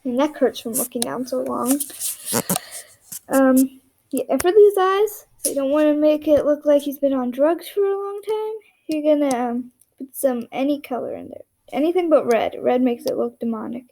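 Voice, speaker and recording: A young woman talks through a drawing tutorial in a flat, rambling monotone, often half-whispering while she draws. Her voice sits high but has a mellow, gravelly edge. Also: moderate pace. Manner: monotone, rambling, self-deprecating. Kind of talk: casual drawing tutorial narration.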